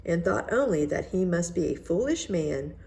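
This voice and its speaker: A woman reading a children's fairy tale aloud in an expressive storytelling style. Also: lowish voice, moderate pace, gravelly, husky. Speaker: storybook reader.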